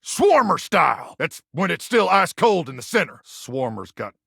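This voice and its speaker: An American man voicing a feral, preachy wasteland soldier in a video game, with a gravelly voice and a folksy, theatrical drawl. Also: fairly high pitch, sing-song, moderate pace, pushed and bright, no room sound, clean studio sound, exaggerated voice. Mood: menacing. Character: feral, zealous, bragging wasteland soldier of a household appliance. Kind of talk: video game character voice acting.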